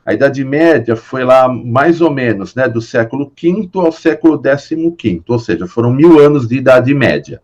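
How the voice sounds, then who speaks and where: A man's voice: medium pitch, lively intonation, moderate pace, hardly any pauses, a little mellow, dull audio. A Brazilian man teaching a philosophy class online, explaining patiently with many fillers and tag questions. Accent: Brazilian Portuguese.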